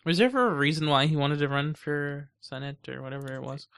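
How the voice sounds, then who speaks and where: A man with a fairly high, bright voice talks as a podcast co-host. His delivery is casual and conversational, with a sharp edge to the tone. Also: fairly even intonation, quick pace, vocal fry, smooth. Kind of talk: casual chat.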